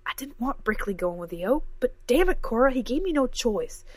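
A woman reading aloud, voicing a small, fretful older woman scholar who talks briskly. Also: lowish voice, no echo, close to an everyday voice. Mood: remorseful.

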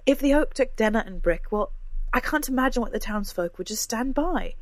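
A woman's voice: on the high side, normal speed, darkish tone, dry recording, voice pushed up, lightly acted. A woman reading aloud, voicing an anxious, impulsive young woman who pleads and insists. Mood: insistent. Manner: pleading, insistent, rising to cries.

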